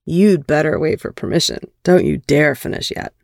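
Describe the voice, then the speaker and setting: A woman's voice: deep voice, normal speed, gravelly, darkish tone, no echo, clean studio sound. A woman voicing a dominant, aroused male lover, teasing and stern, in an audio erotic story. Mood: stern, commanding.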